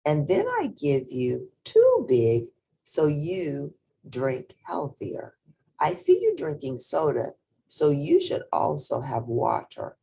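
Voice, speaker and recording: A woman voicing an elderly Filipino grandmother in a storybook, firm, caring and gently instructive. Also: deep voice, huge pitch swings, slow, creaky, slightly rough, heavily acted, rough recording. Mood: earnest, instructive.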